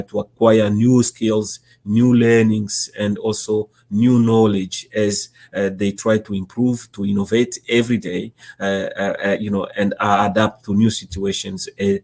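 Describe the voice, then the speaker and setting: Low-voiced Congolese man, halting speech. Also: darkish tone. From Democratic Republic of Congo. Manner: halting, stammering repetitions of word starts. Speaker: interview guest, nonprofit executive director. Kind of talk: interview answers.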